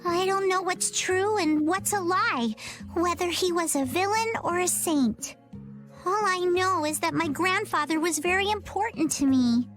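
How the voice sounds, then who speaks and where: A woman's voice: very high-pitched, unhurried, a bit bright, soft background music. A woman voicing a plucky, stubborn young girl treasure hunter in video game dialogue, self-assured and stoic. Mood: resolute.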